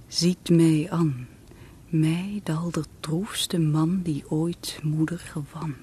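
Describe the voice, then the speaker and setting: An actor playing a lovesick poet in a radio play, whispering slow, pleading verse to his beloved. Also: fairly high pitch, a little mellow, a little hiss or hum. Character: grief-stricken young male poet pleading with his beloved.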